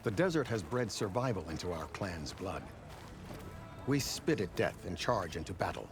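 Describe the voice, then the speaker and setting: Brief: lowish, lively game warrior of the desert, music playing behind. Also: male voice, normal speed. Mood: earnest. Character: proud male desert clan warrior. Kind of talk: video game dialogue.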